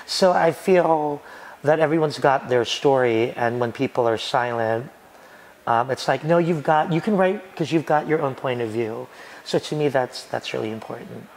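A man's voice: medium pitch, moderate pace, creaky, rough recording. A man in his forties, a spoken word poet, talking animatedly and dramatically in a documentary interview. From United States. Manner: animated, dramatic, gesturing expressively. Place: restaurant booth and outdoor graffiti alley.

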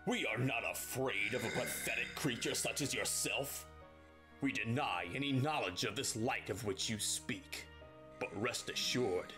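A man's voice: mid-range voice, natural voice. A man voicing a haughty hellhound guarding the gates of hell in a radio play, formal and threatening. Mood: defiant.

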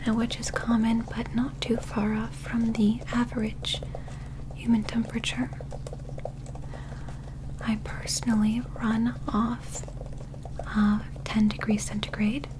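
A young woman voicing a calm alien doctor in a soft ASMR medical roleplay, speaking carefully and reassuringly in a whisper. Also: a little high, low-quality recording. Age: 20s. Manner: slow, careful, clinical, reassuring. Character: calm female alien doctor examining a human.

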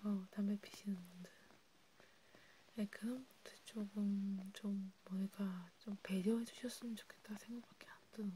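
A woman whispering in a breathy, hushed, intimate way, chatting casually as in an ASMR roleplay. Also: medium pitch, moderate pace. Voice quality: breathy, mostly unvoiced whisper. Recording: steady background noise.

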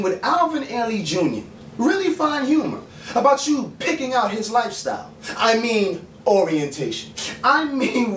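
A male spoken word poet performs theatrically, his high voice swinging widely in pitch. He puts weight on pointed questions and leaves deliberate pauses. The recording comes from a distant camera in a small bar room, with some background noise. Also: roomy sound. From the Bronx, New York, USA. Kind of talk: dramatic spoken word poetry performance on stage. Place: small dim bar stage, indoors. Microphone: far camera mic, stage mic unused beside him.

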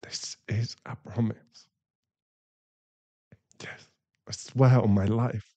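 A man acting a remorseful, tender werewolf boyfriend in an intimate ASMR roleplay, halting and emotional, often breathy. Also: mid-range voice, steady tone, dark tone. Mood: tender, grateful. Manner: halting, emotional, pleading, with sighs and breathy drops into whispers.

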